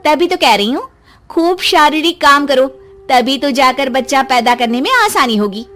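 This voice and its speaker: A woman voicing a sharp-tongued young wife in a cartoon story, scolding and mocking. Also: high voice, moderate pace.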